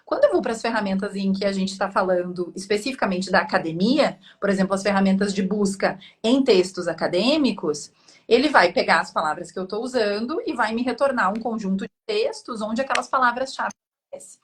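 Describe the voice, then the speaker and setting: A woman, a professor and guest expert explaining a technical topic in a clear, teacherly way. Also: medium pitch, brisk. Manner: clear, explanatory, frequent "né?" tags. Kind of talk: didactic panel explanation.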